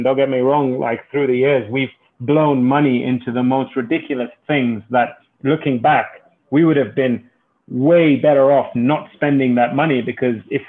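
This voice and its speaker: Man from Kenya on a thin phone-like line. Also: medium pitch. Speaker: entrepreneur, interview guest. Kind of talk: conversational interview answers. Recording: thin phone-like call audio.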